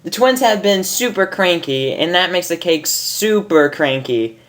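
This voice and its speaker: Lady doing a bubbly chatty cartoon pony, high and singsong. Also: lively intonation, a little slow. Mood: apologetic. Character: bubbly, chatty, rule-minded female cartoon pony baker.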